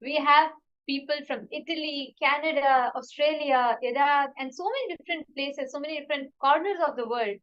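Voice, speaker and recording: A woman's voice: high voice, fairly quick, hardly any pauses, a bit bright, highs cut off. A woman host moderating a panel and eagerly asking guests questions.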